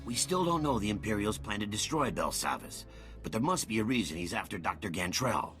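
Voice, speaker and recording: A prison comms officer in a sci-fi game. He is low-voiced and lively, briefing an ally with urgency over background music. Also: fairly quick. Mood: earnest briefing. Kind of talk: scripted video game acting. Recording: music behind the voice.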